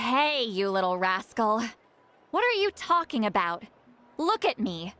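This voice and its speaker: Brash theatrical high priestess in a game, youthful high voice, swoops around, over music. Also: female voice, sing-song, takes it slowly, fairly bright tone, exaggerated voice. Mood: bossy. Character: proud, sharp-tongued high priestess, youthful granny, easily flustered. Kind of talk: video game cutscene voice acting.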